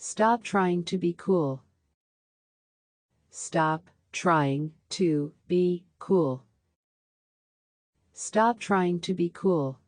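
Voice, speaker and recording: A woman reading practice sentences aloud for a language lesson, saying each one three times with a slowed repeat. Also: lowish voice, a little mellow, dry recording. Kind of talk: slow scripted sentence drills.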